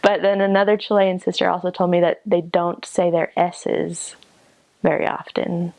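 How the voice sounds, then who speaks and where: A woman's voice: fairly low pitch, little pitch movement, moderate pace, vocal fry, darkish tone, no room sound. An American woman giving casual advice in a tentative, hedging way. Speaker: former missionary.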